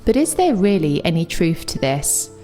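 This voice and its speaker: A woman with a London accent, an English teacher delivering a scripted, reflective video essay with clear opinions. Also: on the low side, sing-song, normal speed, dark tone, soft background music. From England.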